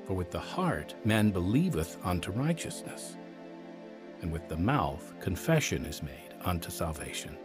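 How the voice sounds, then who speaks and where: An actor playing a gentle, earnest pastor in a radio drama, patient and solemn as he counsels, with a husky voice. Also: low-pitched, very animated, a little slow, music in the background. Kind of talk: audio drama acting, reading scripture aloud.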